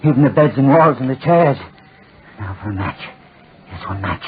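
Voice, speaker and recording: A man's voice: medium pitch, animated, normal speed, natural voice. An actor playing a deranged, desperate man in 1940s radio style, repeating his phrases feverishly and obsessively. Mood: manic muttering. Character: deranged desperate man set on burning his tormentor to death. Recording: scratchy, tinny 1940s radio recording.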